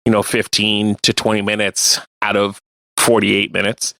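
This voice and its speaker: A man co-hosting a radio show, chatting casually about sports and explaining things in a halting way. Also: on the low side, completely flat delivery, gravelly, pushed and bright, no echo, clean studio sound. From Canada. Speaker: radio co-host.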